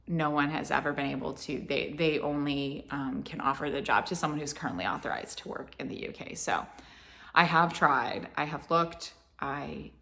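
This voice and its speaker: A woman's voice: low voice, creaky, a bit bright. A woman from Florida with a light Southern accent, rambling casually to camera with many restarts. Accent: American Southern. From Florida, United States. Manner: meandering, with many restarts and fillers. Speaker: vlogger.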